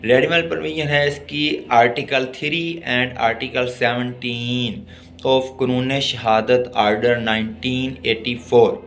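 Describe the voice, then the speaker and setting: A man with a Pakistani accent lecturing on law, deliberately reading out text and explaining it in a teacherly way. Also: mid-range voice, steady tone, a bit bright. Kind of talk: exam-oriented law lesson, reading English text and explaining it.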